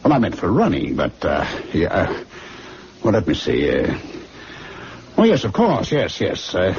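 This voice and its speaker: Animated, pompous older gentleman doctor. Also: low voice, a little slow, full character voice. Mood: flustered. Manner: polished, courteous, flustered when pressed. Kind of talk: radio drama acting. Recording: dull, noisy radio drama recording.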